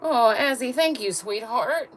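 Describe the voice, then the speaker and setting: A woman with a low voice chatting with viewers on a livestream, blunt and laughing often. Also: animated, a little slow, slight background noise. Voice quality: low voice register. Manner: blunt, talks straight to chat, laughs often. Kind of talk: casual livestream chat with viewers.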